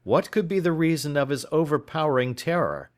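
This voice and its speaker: A man reading a first-person literary story as an audiobook narrator, in a measured, formal storytelling manner. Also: fairly high pitch, lively intonation.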